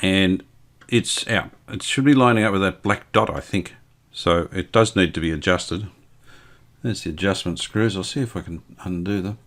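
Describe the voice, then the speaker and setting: A man's voice: low-pitched, moderate pace, a bit bright. A man giving a casual hands-on repair demonstration, unscripted and thinking aloud as he works. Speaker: host of radio repair video.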